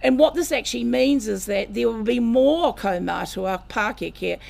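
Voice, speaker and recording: An older woman with a New Zealand accent and a croaky voice, giving an earnest public talk as a researcher at a lectern mic in a meeting room. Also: on the high side, a little mellow. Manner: measured, earnest, with long greetings in Māori. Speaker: guest speaker, researcher. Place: community meeting room, indoors.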